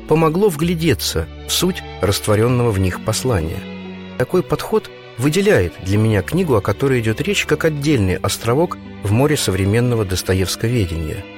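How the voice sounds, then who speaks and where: A man hosting a radio book segment, reading his scripted commentary in a measured, reflective way. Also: mid-range voice. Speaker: radio host. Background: music.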